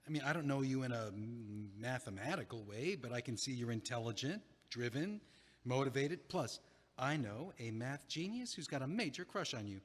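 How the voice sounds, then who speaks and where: A man playing an earnest, easily flustered, loyal young friend in a naturalistic comedic audio play, speaking haltingly. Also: medium pitch, lively intonation, breathy edge. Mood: earnest, encouraging. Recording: echoey hallway-like reverb.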